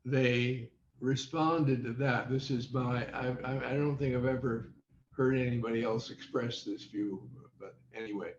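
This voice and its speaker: An older man reminiscing as an interview guest, speaking in a halting, deliberate way over a video call. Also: medium pitch, fairly even intonation, slight background noise. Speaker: interview guest, former child radio quiz contestant. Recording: dull, echoey video-call audio.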